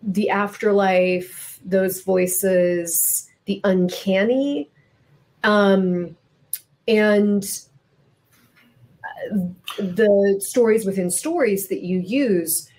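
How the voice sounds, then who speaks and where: A woman's voice: mid-range voice, extremely slow, pauses often, darkish tone. A woman moderating a literary interview, conversational and a little wry, building long questions piece by piece.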